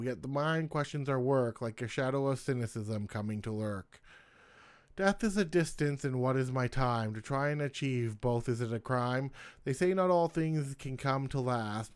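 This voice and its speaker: A man's voice: medium pitch, clean tone. A man hosting his own poetry channel, reading his poems aloud in a casual way and starting lines over when he stumbles.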